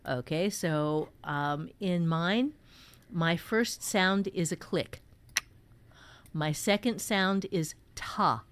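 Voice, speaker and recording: A woman's voice: fairly low pitch, very slow, clear, resonant tone. A woman teaching writing in a conversational way, explaining step by step with emphasis as a co-host.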